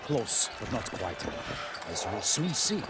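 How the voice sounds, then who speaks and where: A man's voice: fairly low pitch, very animated, slow, very noisy. A man playing a proud, courtly knight in a video game, with formal and flourishing phrasing. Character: courtly, proud knight escorting a guest, storyteller to children. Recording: loud game music behind.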